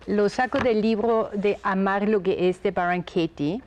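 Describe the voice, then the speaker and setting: A woman with an Austrian accent, a guest on a talk show, reading a reflective passage aloud in a gentle, thoughtful way. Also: medium pitch, fairly even intonation, measured pace, highs cut off, a little hiss or hum. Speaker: guest expert.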